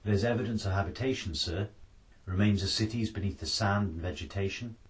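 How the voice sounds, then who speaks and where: A man voicing a keen, dutiful science officer who reports crisply to his superior in a storybook. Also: on the low side, brisk, distant mic, close to an everyday voice. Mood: alert.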